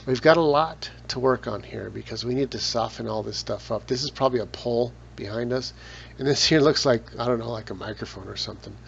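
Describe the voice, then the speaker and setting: Gravelly man narrating a software tutorial. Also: medium pitch, fairly quick. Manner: relaxed, explains step by step with casual asides. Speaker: photo editing tutor. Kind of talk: screen-recorded software tutorial. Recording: dull lo-fi voice with loud noise.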